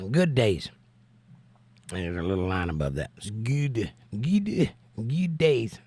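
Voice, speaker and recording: An American man hosting a casual livestream and reading out viewer comments, hoarse from laryngitis, folksy and joking with preachy asides. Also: lowish voice, big pitch swings, slow, a little mellow, no echo. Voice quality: hoarse, strained. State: laryngitis, losing his voice. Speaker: livestream host.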